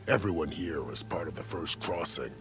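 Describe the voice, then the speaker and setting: An actor playing a plain-spoken, seasoned caravan scout in a video game, with a folksy, matter-of-fact manner. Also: male voice, rumbling low, expressive, moderate pace, background noise. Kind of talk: video game character acting.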